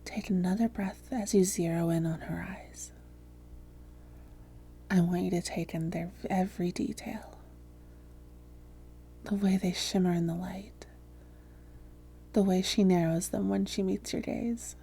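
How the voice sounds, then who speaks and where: Woman acting as a smug female vampire, very dark mellow tone, slow coaxing delivery, soft and creaky, hypnosis roleplay audio. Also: fairly low pitch, fairly even intonation, slight background noise. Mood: soothing, hypnotic. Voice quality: soft, breathy, often whispered.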